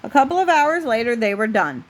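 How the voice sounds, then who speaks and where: A woman with a fairly high voice narrates a children's picture book. She reads it aloud in the style of a parent at bedtime. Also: very animated, fairly quick. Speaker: parent reading a bedtime story. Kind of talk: storybook read-aloud narration.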